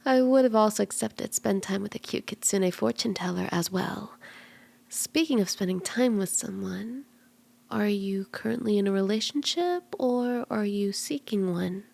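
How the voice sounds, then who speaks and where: Woman playing a soft, creaky-voiced flirty kitsune fortune teller. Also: medium pitch, moderate pace. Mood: flirty, teasing. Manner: gentle, coaxing, slipping into breathy whispers. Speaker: tarot reader. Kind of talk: ASMR roleplay, speaking softly to the listener.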